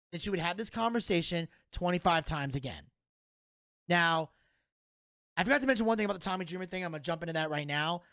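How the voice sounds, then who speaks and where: A man's voice: sits high, fairly bright tone, no echo, clean studio sound. A man in his thirties hosting a solo podcast, giving a blunt, profane and opinionated review. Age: 30s. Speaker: podcast host.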